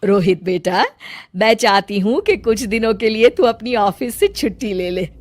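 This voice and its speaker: A woman's voice: medium pitch, moderate pace, darkish tone, no echo, studio quality, close to an everyday voice. A woman voicing a bossy, fretful middle-aged mother-in-law with a commanding manner in a cartoon story. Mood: coaxing. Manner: commanding, scolding.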